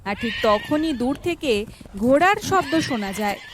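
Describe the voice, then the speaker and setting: High, animated woman storyteller. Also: moderate pace, background noise. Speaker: narrator. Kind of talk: scripted narration of a children's folk tale.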